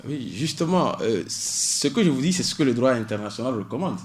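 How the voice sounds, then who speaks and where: A man's voice: mid-range voice, lively intonation, fairly quick. A man, a doctoral student in public law, explaining asylum law on a radio show with many hesitations and cited articles. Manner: hesitant, many fillers, cites legal articles.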